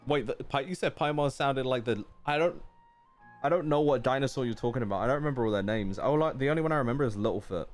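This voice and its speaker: A man's voice: medium pitch, quick pace, faint music behind. A man live-streaming a game with casual, offhand, joking reaction commentary full of slang.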